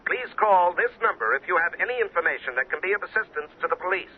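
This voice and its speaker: An actor playing a formal, deliberate newsreader giving a bulletin within an old-time radio drama. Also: male voice, high voice, lively intonation, breathy edge. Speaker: news announcer. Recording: muffled old radio recording, faint noise.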